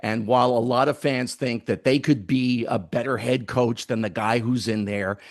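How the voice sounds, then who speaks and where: A middle-aged man speaks as the host of a sports interview, in a medium-pitched voice. His delivery is conversational, framing questions and commentary for a guest. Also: fairly even intonation, moderate pace. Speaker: interviewer, former radio sports director.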